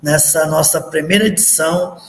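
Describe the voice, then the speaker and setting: A man hosting a radio program, asking slow, deliberate interview questions. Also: on the high side, steady tone, slight background noise. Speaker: radio program host and professor. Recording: echoey radio studio, long reverb, faint music.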